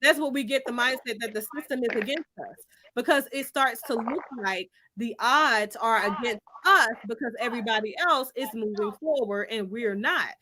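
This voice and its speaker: A woman's voice: a little high, moderate pace, bright, forceful tone, slight background noise, rough recording. A woman from Finland speaking in an opinionated discussion, making her points in an emphatic, insistent and argumentative way.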